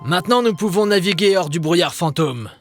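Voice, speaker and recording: A man's voice: high voice, animated, a little fast, fairly bright tone, faint music behind. A man voicing an adventurous, commanding ghost sea captain in a children's cartoon, with a hearty, theatrical delivery. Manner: hearty, theatrical, barks nautical orders.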